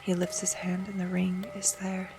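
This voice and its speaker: Woman as a hushed undead warrior, little pitch movement. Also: completely flat delivery, moderate pace, natural voice. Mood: anguished. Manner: hushed, solemn, dramatic. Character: anguished undead warrior, haunted, bitter, solemn. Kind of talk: first-person audio drama narration. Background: music.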